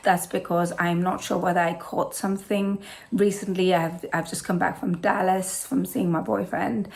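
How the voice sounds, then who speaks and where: A British woman with a hoarse, slightly low voice introduces her knitting and travel channel. She rambles casually and keeps correcting herself with plenty of ums and uhs. The sound comes from a simple, non-professional camera setup. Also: flat delivery, moderate pace. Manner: rambling, full of fillers and self-corrections.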